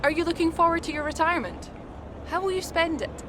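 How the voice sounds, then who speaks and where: An actress playing a brisk, professional trackside TV reporter asking post-race questions in a video game. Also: female voice, extremely high pitch. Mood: brisk, inquiring. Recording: outdoor trackside background noise.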